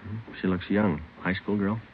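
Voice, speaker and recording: An actor playing a weary, laconic police detective sergeant in 1950s radio drama style, terse and matter-of-fact. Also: male voice, sits low, flat delivery, moderate pace, lightly acted. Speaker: lead actor and narrator. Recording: muffled vintage radio recording, clear hiss.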